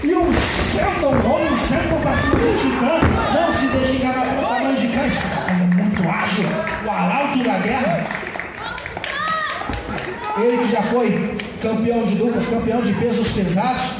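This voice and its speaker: A Brazilian man giving excited, emphatic play-by-play wrestling commentary, often breaking into shouts. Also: very high-pitched, normal speed, very noisy. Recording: distant, very echoey, loud music and crowd.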